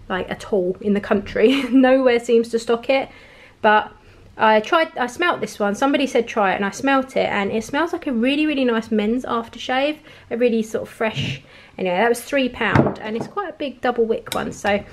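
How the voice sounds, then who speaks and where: A woman in a casual, rambling grocery haul chat, laughing now and then while showing off her shopping at home. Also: fairly high pitch. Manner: chatty, rambling, laughs often. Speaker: vlogger. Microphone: camera pointed at the table, speaker off screen.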